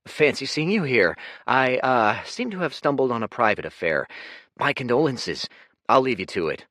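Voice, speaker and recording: A man's voice: medium pitch, sing-song, normal speed, sharp tone, no echo, studio quality, pitched above the performer's own voice. A man voicing a flippant, placating young man who talks his way out of trouble with wry charm. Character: glib, flippant young man, quick-talking.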